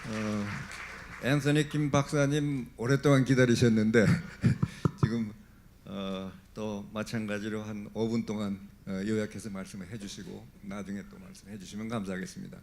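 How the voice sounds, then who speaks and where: A man moderating a conference panel, giving polite, quick introductions. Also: lowish voice, steady tone, creaky, darkish tone, slight background noise.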